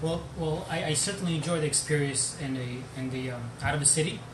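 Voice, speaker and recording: A man's voice: a little high, quick pace. A man from Ukraine, a guest on a casual stream, talking hesitantly and repeating words as he goes. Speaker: guest, car owner. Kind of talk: casual chat. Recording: echoey room with background noise.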